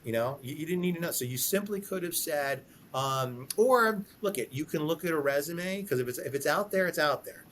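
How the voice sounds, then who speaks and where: Emphatic man giving advice over noisy rumble. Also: lively intonation, fairly quick, few pauses, fairly bright tone. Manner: emphatic, repeats himself, poses sample questions and answers in quotes. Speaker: employment lawyer, podcast guest. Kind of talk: conversational advice in a podcast interview.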